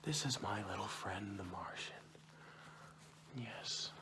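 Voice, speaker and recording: A man playing a goofy, menacing kidnapper in an ASMR roleplay, coaxing and mock-reassuring in a breathy, hushed whisper. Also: fairly low pitch, slow, background noise. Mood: playful menace. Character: goofy menacing male kidnapper soothing his captive to sleep.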